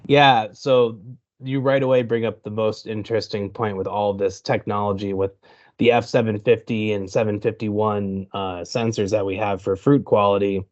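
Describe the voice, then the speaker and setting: A man hosts an interview, asking questions in a low voice with a friendly manner and many fillers. His call audio sounds dull and lo-fi. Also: fairly even intonation, moderate pace, darkish tone. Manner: friendly, filler-heavy phrasing. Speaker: host interviewer. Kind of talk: company interview.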